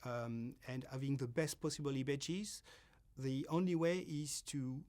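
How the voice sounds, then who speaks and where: A man's voice: mid-range voice, fairly even intonation, unhurried, a little mellow. Man explaining in an interview. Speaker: astronomy instrumentation researcher.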